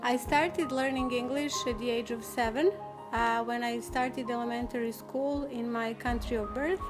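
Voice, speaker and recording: A woman whose first language is Serbian speaks in a formal, measured way, pausing often on "uh" as she builds her sentences. Her pitch sits a little high but barely moves, and music plays clearly underneath her. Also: steady tone, low-quality recording. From Yugoslavia. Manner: measured, formal, frequent "uh" pauses. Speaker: interviewee. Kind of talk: interview answers.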